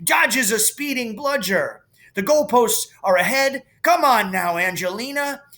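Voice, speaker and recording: Man acting high-pitched, excitable young match commentator. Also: unhurried, full character voice. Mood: excited. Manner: breathless, exclaiming, partisan.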